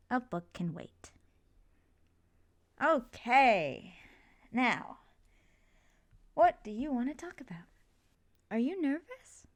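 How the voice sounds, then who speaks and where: A woman playing a supportive, doting mother in an ASMR roleplay, talking in a gentle, reassuring, slightly rambling way. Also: mid-range voice. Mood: caring, attentive. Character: supportive, doting, chatty mother comforting her child. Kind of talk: ASMR roleplay, intimate one-sided conversation.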